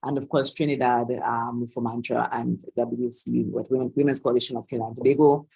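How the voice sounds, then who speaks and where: A woman's voice: a bass voice, little pitch movement, brisk, gravelly, dark tone, husky, low-quality recording. A woman organiser hosting a live online Q&A on a video call, talking loosely with many restarts and asides. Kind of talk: live-streamed online Q&A talk.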